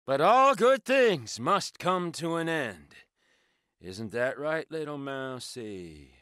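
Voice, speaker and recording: A man's voice: fairly high pitch, sing-song, takes it slowly, clean tone, no echo, clean studio sound, heavily acted. An actor playing a sadistic, gloating male villain in a video game, taunting his prey with menacing glee. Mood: soft, sinister taunting. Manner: taunting, gleeful, menacing, swinging from murmurs to shouts. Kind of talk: video game combat voice lines.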